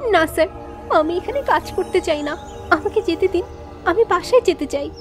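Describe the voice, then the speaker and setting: A woman playing a frightened, pleading, tearful young woman in an animated thriller. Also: very high-pitched, normal speed, soft background music. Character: frightened, pleading young woman held against her will. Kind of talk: Bengali animated thriller acting.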